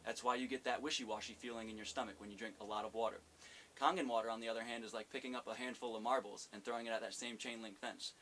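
A young man in his twenties, the owner of a cafe, delivering a rehearsed business pitch to camera in an earnest, steady way, with the odd slip. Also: fast talker. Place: indoor studio set. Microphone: fixed camera framing, head and shoulders. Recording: faint hiss.

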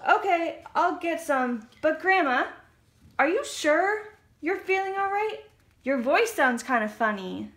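A flippant teenage fairy-tale girl played by a grown woman, high, bright and whiny. Every line is exaggerated puppet-show drama, in a roomy recording. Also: sing-song, measured pace, exaggerated voice. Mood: chirpy. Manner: exaggerated, whiny teen delivery.

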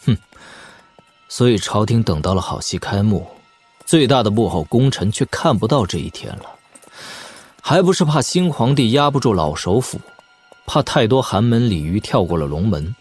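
Sardonic young warlord over music. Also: male voice, lowish voice, animated, normal speed, a little mellow, slightly rough. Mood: scornful, wry. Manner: wry, expounding at length, with snorts and sighs. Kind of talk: Chinese martial-arts audio drama acting. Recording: music behind. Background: background music.

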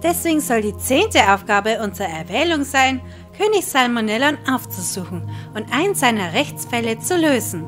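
A woman's voice: fairly high pitch, big pitch swings, moderate pace, few pauses, soft background music. A woman playing a principled, sceptical goddess of justice in an audio drama, speaking earnestly.

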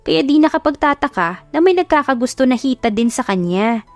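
A woman's voice: sits high, lively intonation, a little fast, darkish tone, no echo, faint music behind, pitched above the performer's own voice. A woman voicing gossipy, judgmental neighborhood bystanders in an audio drama, nosy and scornful. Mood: gossiping. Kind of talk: audio drama storybook acting.

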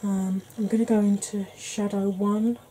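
A soft-spoken woman in her forties with a Welsh-tinged northern English accent chats slowly through a makeup tutorial. Her voice is very dark and mellow, with a wry edge. A faint hum sits behind her in a small room at home. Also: medium pitch, flat delivery, rough recording. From Wales and Yorkshire. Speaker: makeup vlogger.

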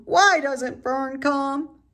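A woman's voice: high voice, very slow, fairly bright tone, pitched above the performer's own voice, heavily acted. A woman voicing a frightened, bewildered young male piglet in a storybook, speaking plaintively. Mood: distressed.

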